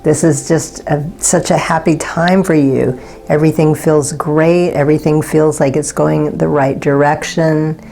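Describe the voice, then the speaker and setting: A woman giving a pick-a-card tarot reading in a soft, unhurried and gently reassuring way. Her voice is slightly raspy, and she often pauses to search for words. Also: low-pitched, steady tone. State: slightly raspy from not talking all day.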